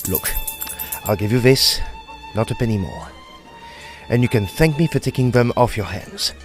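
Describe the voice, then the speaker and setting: An actor playing a gruff, dismissive buyer haggling over antiquities in an audio drama. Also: male voice, mid-range voice, lively intonation, moderate pace, over music, natural voice. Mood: brusque. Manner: brusque, dismissive.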